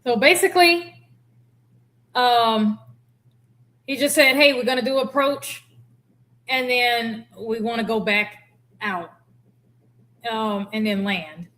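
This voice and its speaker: A woman's voice: on the high side, slow, pauses often, a bit bright. A woman giving casual, candid explanatory commentary as a former air traffic controller.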